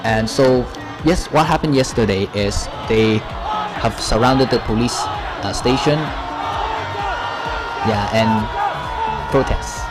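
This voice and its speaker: A man's voice: mid-range voice, takes it slowly, long pauses, over loud music, a loud crowd. A man from Hong Kong giving unscripted, explanatory interview answers, searching for words but speaking with emphasis. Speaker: interview guest, protest supporter.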